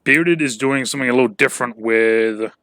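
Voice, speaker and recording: A young man in his twenties hosts a casual podcast in a middle-pitched voice. He rambles, restarts sentences and drops swear words. The sound is dry and close, with no room around it. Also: a little fast, bright, forceful tone, no echo. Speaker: podcast host. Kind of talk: casual chat.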